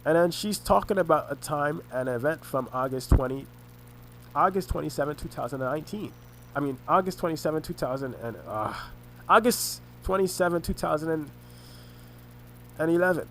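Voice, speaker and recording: Halting, congested man. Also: on the high side, fairly bright tone, slight background noise, poor audio. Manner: halting, restarts and repeats words often. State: congested, sore throat. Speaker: vlogger recounting family conflicts. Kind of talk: rambling personal monologue over a screen recording.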